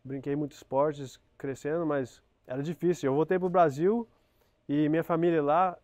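A man's voice: fairly high pitch, fairly even intonation, normal speed, darkish tone, no room sound. A man from Brazil who grew up in the US, a guest chatting casually and hesitantly, often searching for words outdoors. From Brazil, raised in the US. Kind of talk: casual chat. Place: outdoor driveway, seated on a couch.

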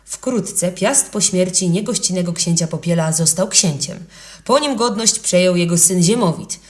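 A woman with a slightly low voice narrates an old legend for children. She delivers it as scripted audiobook storytelling.

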